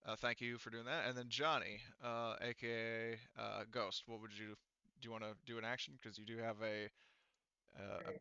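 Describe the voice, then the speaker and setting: Bright, clear-voiced man as game master. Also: mid-range voice, level tone, moderate pace, dry recording. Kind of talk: running a tabletop roleplaying session. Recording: slightly dull, dry close recording.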